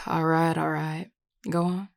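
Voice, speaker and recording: Flirty Southern US werewolf played by a woman, low-pitched, drawling and affectionate, close dry sound, intimate audio roleplay. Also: level tone, slow, creaky, no room sound, exaggerated voice. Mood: wistful, smitten. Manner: drawling, teasing, sighing, casual and affectionate. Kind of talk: intimate audio roleplay, one-sided conversation.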